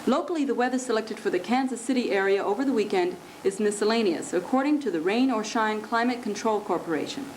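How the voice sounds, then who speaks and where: A woman acts as a deadpan female anchor in a comic futuristic newscast, delivering scripted news and weather like an official announcer. Her pitch is average, and the amateur recording has faint noise. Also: natural voice. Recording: noisy amateur 1970s recording.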